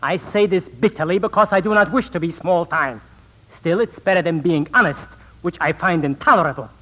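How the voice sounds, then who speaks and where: An actor in a 1940s radio drama playing a sinister, bitter small-time swindler and phony psychic, with formal, theatrical diction. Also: male voice, fairly high pitch, a little fast, fairly bright tone. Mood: bitter, grandiose. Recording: muffled 1940s radio recording.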